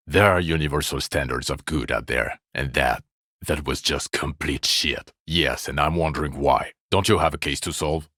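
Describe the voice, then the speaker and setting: A jaded video game police detective with a very deep voice, recorded close and dry. He is sardonic and exasperated, delivering his foul-mouthed scorn with biting sarcasm. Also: bright, forceful tone, dry recording, exaggerated voice. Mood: exasperated, scornful. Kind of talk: video game character voice acting.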